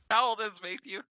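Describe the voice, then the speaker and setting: A man's voice: sits high, sharp tone. A man chatting casually in a jokey, teasing way during a tabletop game.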